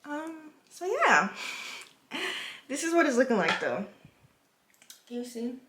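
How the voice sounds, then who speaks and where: A woman's voice: sits high, very animated, slow, bright, forceful tone, echoey room, slight background noise. A woman in her twenties from the Virgin Islands, chatting frankly like a big sister while doing her makeup at a home vanity. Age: 20s. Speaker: vlogger.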